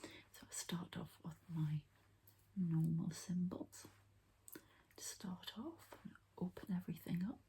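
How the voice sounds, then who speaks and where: A woman whispering gently and breathily as she hosts an unhurried, hushed ASMR energy-healing session. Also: fairly low pitch, fairly even intonation. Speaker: ASMR host and energy healer.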